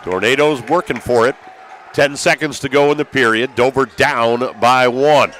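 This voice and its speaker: A man's voice: medium pitch, normal speed, fairly bright tone. American man calling basketball over gym noise. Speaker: play-by-play sports announcer. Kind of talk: live basketball radio commentary. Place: courtside in a packed school gymnasium. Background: gym ambience, bouncing basketballs.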